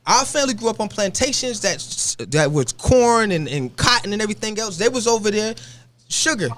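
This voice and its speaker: A man from Buffalo, co-hosting a live stream and arguing his point hard, often cutting in to say "pause.". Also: high-pitched, animated, a bit bright, a little hiss or hum. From Buffalo, New York. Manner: emphatic, keeps cutting in with "pause". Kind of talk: heated casual debate.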